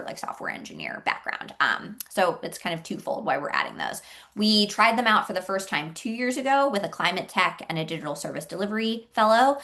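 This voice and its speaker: Female presenter, slightly low voice, informal and explanatory, conversational with loose, searching phrasing. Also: a bit bright. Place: online group call.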